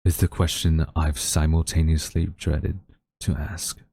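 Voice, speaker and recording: Very deep-voiced, haughty government official acted by a man. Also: level tone, warm tone, breathy edge, no room sound, heavily acted. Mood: stern, pained. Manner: formal, theatrical, deliberate wording. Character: haughty government official, male, secretly tender toward captured villain. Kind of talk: dramatic audio roleplay monologue addressed to listener.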